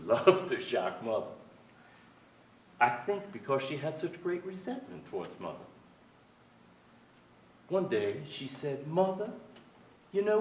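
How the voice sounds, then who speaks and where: A man's voice: a little high, a little hiss or hum, heavily acted. An echoey, distant stage recording of an actor as an aging playwright, wistful and wry. His reflective delivery moves expressively up and down as he reminisces about family with theatrical deliberation. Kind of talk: staged reading of a reminiscing monologue. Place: theater stage before an audience.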